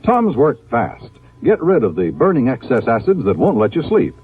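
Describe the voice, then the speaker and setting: A male announcer delivering an emphatic, polished sales pitch in the style of 1950s radio. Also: huge pitch swings, normal speed, slightly rough. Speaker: radio announcer reading a sponsor ad. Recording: narrow-band old radio recording.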